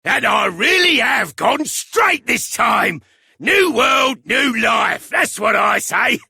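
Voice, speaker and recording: An actor playing a cheeky, boisterous crook in a video game, with a raspy Cockney voice and a wheedling manner. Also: male voice, extremely high pitch, sing-song, slow, pushed and bright, dry recording, raised voice, studio quality, heavily acted. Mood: boisterous, delighted. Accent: English, Cockney. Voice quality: raspy, gravelly. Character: cheeky male crook claiming to have gone straight.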